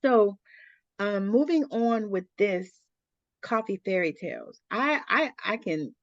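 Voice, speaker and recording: A woman's voice: mid-range voice, very animated, slow, no echo. Blunt middle-aged woman, dry dull audio. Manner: blunt, colloquial, repeats "you know". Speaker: interview host. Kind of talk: casual chat.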